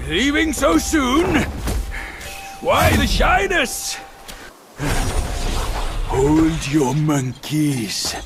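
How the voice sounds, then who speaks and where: A man playing a mocking, sly trickster creature in an animated fantasy game, with a teasing, theatrical delivery. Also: sits high, heavily acted. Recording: music behind the voice.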